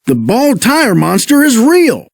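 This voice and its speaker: Man doing an excitable, high-pitched goofy tow truck. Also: slow, gravelly, no echo, clean studio sound, heavily acted. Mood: worried. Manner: folksy, exclaiming. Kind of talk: read-along children's story character.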